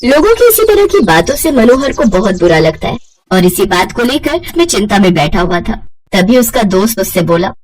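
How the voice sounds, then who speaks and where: A woman's voice: mid-range voice, big pitch swings, normal speed, darkish tone, a little hiss or hum. A woman narrating a scripted moral story as its storyteller.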